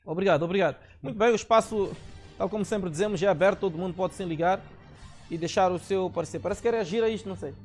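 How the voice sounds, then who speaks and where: Quick-talking male call-in host. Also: sits high, soft background music. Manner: brief acknowledgments, probing questions. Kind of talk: live call-in show.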